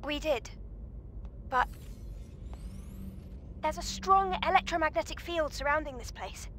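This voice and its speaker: An actress playing a bubbly, curious young female tech companion in a video game, perky and expressive. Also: high voice, a little slow, a little hiss or hum. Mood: focused. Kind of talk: English video game voice acting.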